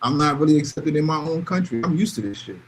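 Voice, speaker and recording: A man's voice: on the high side, animated, fast. A man talking casually and bluntly, giving emphatic opinions and repeating phrases to drive points home. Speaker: podcast panelist.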